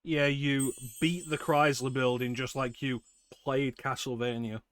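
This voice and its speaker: A man's voice: mid-range voice, little pitch movement, unhurried, a bit bright, no room sound. A man streaming a video game, chatting wryly and answering his viewers. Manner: wry, chatty, answers chat. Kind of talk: casual chat while playing. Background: game music.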